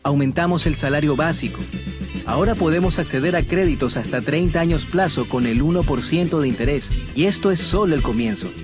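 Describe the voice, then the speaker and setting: Fairly high-voiced man reading an advert. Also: a little fast, music in the background. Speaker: advert announcer. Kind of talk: scripted government radio ad.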